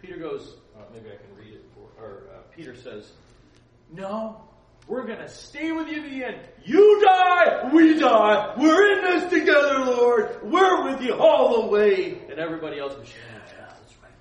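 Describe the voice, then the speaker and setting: A man preaching a lively storytelling sermon, acting out voices and laughing at his own asides. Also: extremely high pitch, normal speed, muffled recording. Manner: acts out voices, laughs often, chatty asides. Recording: roomy echo, distant mic, background noise.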